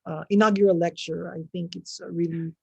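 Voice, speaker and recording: A man's voice: high-pitched, little pitch movement, fairly quick, gravelly, breathy edge, dry recording. A man from the Philippines, politely moderating an online Q&A over a video call. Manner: polite, hesitant. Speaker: event moderator. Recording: dull lo-fi video call audio.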